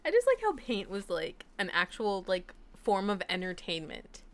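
A woman's voice: mid-range voice, wildly swooping pitch, moderate pace. A young woman co-hosting a casual podcast chat, enthusiastic and full of dramatic exclamations. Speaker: podcast co-host.

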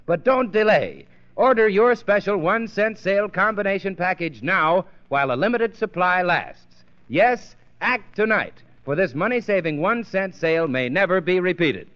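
30s radio ad announcer guy, highish voice, smooth salesman pitch, muffled old recording. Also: animated, normal speed. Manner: polished, persuasive salesman delivery. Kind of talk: 1930s radio advertising read.

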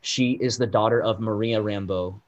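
A man co-hosting a casual pop-culture podcast, explaining things at length with lots of "like" and "um" fillers, and getting loud and animated when something riles him. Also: lowish voice, moderate pace, muffled recording. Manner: rambling, heavy on "like" and "um" fillers. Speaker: podcast co-host.

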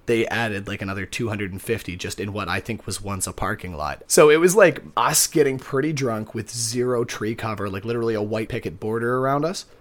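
A man's voice: on the low side, fairly quick, few pauses. A man co-hosting a podcast, telling stories in a casual, rambling, wry and self-deprecating way. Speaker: podcast co-host. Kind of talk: casual conversational storytelling.

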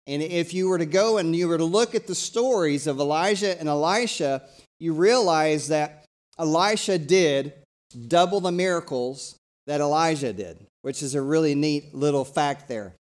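A middle-aged man from East Tennessee, preaching a live church sermon in a conversational, energetic way that invites the congregation to talk back. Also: a little high, normal speed. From East Tennessee, USA. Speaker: pastor.

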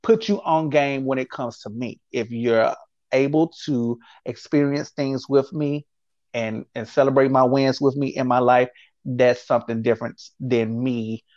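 A man's voice: medium pitch, fairly even intonation, measured pace, darkish tone, no room sound. Male interview guest, candid and reflective, recorded in a dry room with slightly dull sound. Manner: reflective, speaks in repeated phrases, often says "you know what I'm saying".

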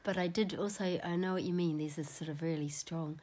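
Low-voiced New Zealand woman host. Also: steady tone, a little fast, warm tone. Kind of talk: casual interview.

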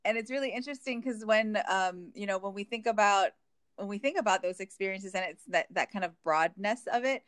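A woman's voice: medium pitch, brisk, a bit bright, no room sound, clean studio sound. A woman from Hawaii in a reflective, casual conversation, talking with many fillers and restarts.

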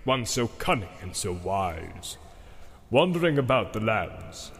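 A man voicing a proud, scornful old male wizard who speaks slowly and quietly with a sneer. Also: fairly low pitch, big pitch swings, in a large hall, full character voice. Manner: slow, hushed, sneering.

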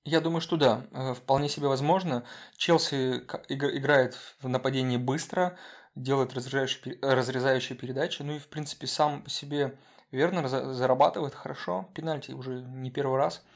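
A man's voice: mid-range voice, steady tone, fairly quick, gravelly. A man co-hosting a casual football podcast, talking with many fillers and restarts. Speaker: podcast co-host.

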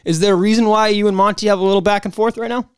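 A young male co-host with a slightly high voice asks easygoing, jokey questions in a casual podcast chat. The sound is dry and close. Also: steady tone, racing, clear, resonant tone, no echo. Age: 20s. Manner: laid-back, jokey questioning.

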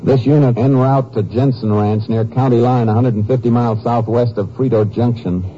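A man's voice: medium pitch, steady tone, normal speed, warm tone, lightly acted. A man playing a terse, authoritative Texas Ranger in 1950s radio drama style. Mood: businesslike. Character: steady, tough Texas Ranger on a case. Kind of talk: 1950s radio drama acting with first-person narration. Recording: muffled, hissy old radio recording.

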